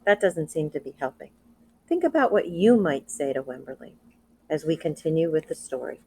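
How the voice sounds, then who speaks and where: A woman reading a picture book aloud to children, expressive, with asides and questions to her listeners. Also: sits low, normal speed, slight background noise. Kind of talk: read-aloud storytelling with commentary for children.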